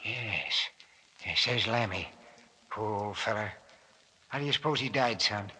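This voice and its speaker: A man's voice: lowish voice, measured pace, pushed and bright, slightly rough, exaggerated voice. An actor playing a wary, stubborn old small-town mayor and father with rustic, ungrammatical speech, in old-time radio style. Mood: sorrowful. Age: older. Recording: noisy old radio recording with clicks.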